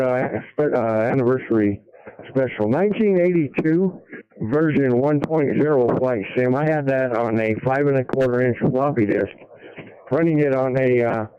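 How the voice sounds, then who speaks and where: A man's voice: mid-range voice, smooth, no room sound. A middle-aged or older American man chatting casually and easygoing over a ham radio transmission. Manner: easygoing, folksy. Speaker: amateur radio operator checking in. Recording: narrow-band radio hotspot transmission.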